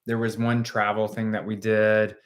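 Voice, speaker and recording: A man in his late thirties co-hosting a casual live chat, answering viewer questions in measured, plain sentences. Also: fairly low pitch, monotone. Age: 30s. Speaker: co-host of a live chat stream. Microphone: phone front camera.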